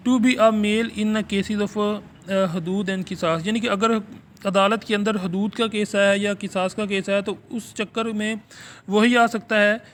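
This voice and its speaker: A man with a Pakistani accent lecturing informally as a teacher, moving between halting reading of notes and quicker explanation. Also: high voice, fairly even intonation, slight background noise. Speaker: law teacher. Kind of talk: informal exam lecture, explaining read-out English notes in Urdu.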